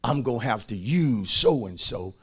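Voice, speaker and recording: A man's voice: mid-range voice, animated, measured pace, fairly bright tone, breathy edge. A man preaching as a pastor, emphatic, repeating phrases and calling on his listeners to respond. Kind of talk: sermon.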